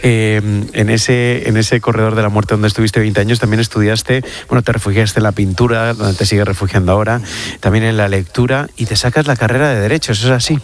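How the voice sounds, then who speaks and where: A man interviewing in a conversational style, speaking in measured phrases with hesitant pauses. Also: on the low side, fairly even intonation.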